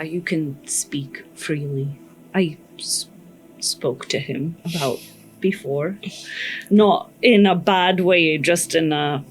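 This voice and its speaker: A woman's voice: deep voice, poor audio. A woman playing in a tabletop game podcast, chatting casually at the table.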